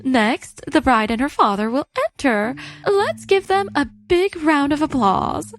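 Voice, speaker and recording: A chipper wedding attendant played by a woman in a manga drama. She is polite and formal, very high in pitch and animated, over faint music. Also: a little slow. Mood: formal, announcing. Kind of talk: manga story dub acting. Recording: music under the voice.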